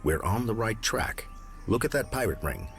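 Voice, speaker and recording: An actor playing a composed gentleman detective in a video game, husky and purposeful as he guides the player. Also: lowish voice, big pitch swings, moderate pace, exaggerated voice. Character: composed, deep-voiced gentleman detective companion. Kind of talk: video game character acting.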